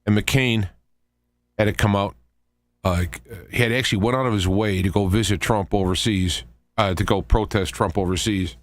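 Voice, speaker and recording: Low-voiced man rambling as radio host. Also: fairly even intonation, no echo. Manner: halting, rambling, conversational asides. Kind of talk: informal political commentary, monologue.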